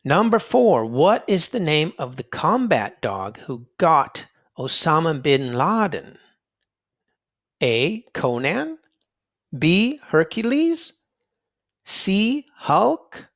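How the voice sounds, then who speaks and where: Male children's read-aloud host. Slightly high register, highly varied intonation, theatrical emphasis and drawn-out key words. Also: sing-song, a bit bright.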